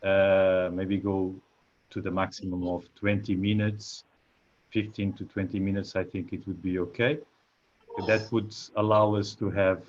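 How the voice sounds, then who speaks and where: A man with a lowish voice moderates an academic panel over a video call, taking his time. He halts often, filling gaps with "uh" and restarting phrases. The call audio sounds dull and lo-fi. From Portugal. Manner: hesitant, many filler sounds and restarts. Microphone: computer mic on a video call.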